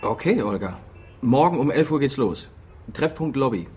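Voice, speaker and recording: A man's voice: mid-range voice, lively intonation, moderate pace, background noise, natural voice. A man playing a young, curious and eager newspaper reporter in a radio drama.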